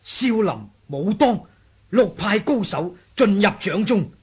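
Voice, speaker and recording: A man's voice: high-pitched, animated, takes it slowly, breathy edge, full character voice. A man with a Hong Kong Cantonese accent playing a deferential rebel soldier reporting formally to his leader in a radio drama. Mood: grave, reporting. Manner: formal, storytelling, respectful. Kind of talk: 1980s radio drama acting.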